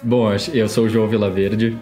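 A man's voice: lowish voice, little pitch movement, moderate pace, mellow, soft background music. A Brazilian man with a São Paulo accent, co-hosting a casual podcast with a hesitant, self-deprecating manner and many restarts. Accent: São Paulo Portuguese. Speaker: podcast co-host. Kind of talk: casual chat.

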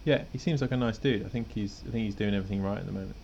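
Gravelly low-voiced male podcast host. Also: rapid-fire, darkish tone, lo-fi sound, a little hiss or hum. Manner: laid-back, rambling, chuckles often. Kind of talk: casual chat.

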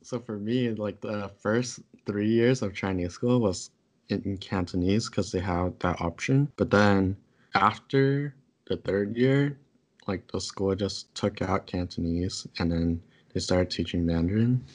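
Soft-spoken young man, casual podcast chat. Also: low-pitched, unhurried. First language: Taishanese. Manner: relaxed, many fillers and self-corrections. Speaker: podcast co-host.

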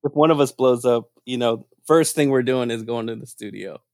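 A man's voice: mid-range voice, moderate pace, no room sound, studio quality. A man in his thirties hosting a casual chat, telling stories playfully, laughing and swearing freely. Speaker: host.

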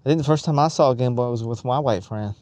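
A man's voice: medium pitch, fast, darkish tone. A man from Georgia co-hosting a podcast, asking blunt, teasing questions in casual chat. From Georgia, United States. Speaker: podcast co-host. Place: podcast studio.

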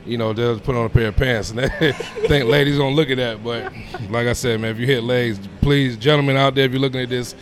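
A man's voice: medium pitch, fairly quick, few pauses, crowd noise. A man in his thirties talking casually as a personal trainer being interviewed, informal, often dropping in "man" and "you know". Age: 30s. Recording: clear steady background noise.

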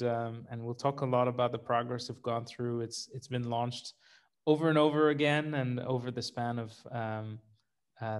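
A middle-aged man from Sri Lanka co-hosting a casual podcast, self-deprecating and wry, with many fillers. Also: mid-range voice. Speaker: startup co-founder, podcast host.

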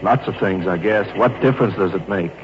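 An actor playing an earnest young wounded Navy flyer, plain-spoken and halting, in 1940s radio drama style. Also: male voice, low voice, natural voice. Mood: glum, resigned. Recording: noisy muffled old radio recording.

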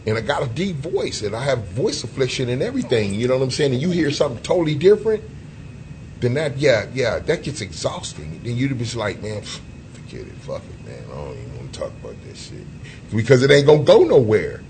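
A man co-hosting a podcast, talking loosely and profanely, with lots of "you know" fillers and sudden jumps in pitch. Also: big pitch swings, fairly quick, darkish tone, slight background noise. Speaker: co-host. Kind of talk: casual podcast discussion.

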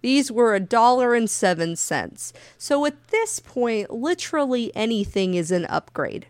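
A woman co-hosting a casual podcast, talking very loudly and telling stories with lively, profane energy. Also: a little high, a little slow, clear, resonant tone, no room sound. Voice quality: very loud voice. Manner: animated, profane storytelling. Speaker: podcast co-host.

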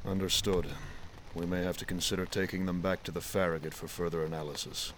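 A commanding naval officer played by a man with a very deep voice. He is formal, businesslike and authoritative, in an audio drama with clear noise behind him. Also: flat delivery, a little fast, fairly bright tone. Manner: authoritative, formal, clipped orders. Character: commanding, businesslike male navy admiral.

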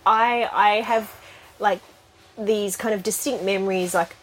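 A woman's voice: medium pitch, fairly even intonation, a little slow, slight background noise, poor audio. A middle-aged woman with an Australian accent, telling her story as a podcast guest in a frank, chatty way with frequent laughs. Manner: frank, laughs often, many fillers. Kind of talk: casual interview chat.

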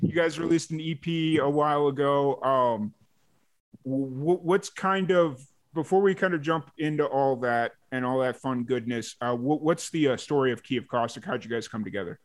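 A man's voice: medium pitch, moderate pace. A man hosting a podcast, asking loose, rambling questions full of restarts in casual chat. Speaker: podcast host.

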